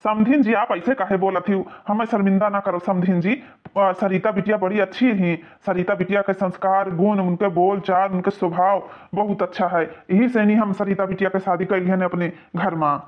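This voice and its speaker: A man's voice: high-pitched, fairly even intonation, moderate pace, natural voice. A man voicing a proud, reassuring father of the groom with an Awadhi accent in a cartoon. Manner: reassuring, boastful. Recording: muffled lo-fi sound.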